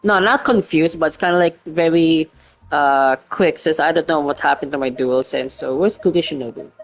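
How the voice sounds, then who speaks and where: A man's voice: fairly high pitch, normal speed. Rambling male game streamer. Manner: rambling, hesitant, swears casually. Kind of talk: casual gameplay commentary. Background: faint game music.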